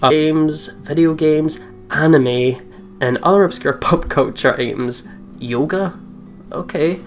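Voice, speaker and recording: Chatty, giggly game host over soft music. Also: medium pitch, slow. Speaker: let's play host. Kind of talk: casual chat and reading aloud over game. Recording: music behind the voice. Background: plucked guitar game music.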